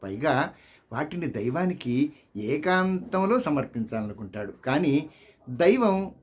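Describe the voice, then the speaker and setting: Slow, higher-pitched male storyteller. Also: big pitch swings, slightly rough. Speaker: audiobook reader.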